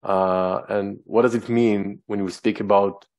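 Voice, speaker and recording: A man's voice: on the low side, fairly even intonation, measured pace, a little mellow. A man moderating a webinar panel, posing questions to the speakers with hesitant fillers.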